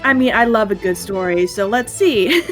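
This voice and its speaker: A woman's voice: medium pitch, sing-song, moderate pace. A woman chatting casually as a player at a tabletop game. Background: soft music.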